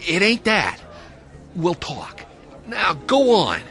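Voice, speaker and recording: An American man playing a gruffly caring diner owner in a noir radio drama, talking in hesitant, colloquial questions. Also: high voice, wildly swooping pitch, takes it slowly, sharp tone, exaggerated voice. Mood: concerned, gruff. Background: faint music.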